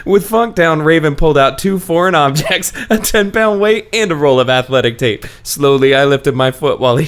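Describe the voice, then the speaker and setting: A man's voice: fairly high pitch, a bit bright. A man hosting a podcast, chatting casually about books in a jokey, irreverent way and often laughing through his sentences. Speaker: podcast host. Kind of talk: casual comic book-discussion chat.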